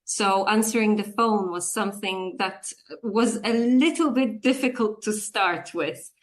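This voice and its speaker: A woman's voice: mid-range voice, unhurried. Chatty woman from Poland, laughing often. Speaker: guest, business English communications coach. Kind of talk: casual interview chat.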